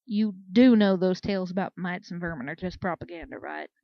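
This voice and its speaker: A woman voicing a courteous male Pegasus commander in a storybook, formal, measured and weary. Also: fairly low pitch, vocal fry, no room sound, muffled recording. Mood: exasperated, formal.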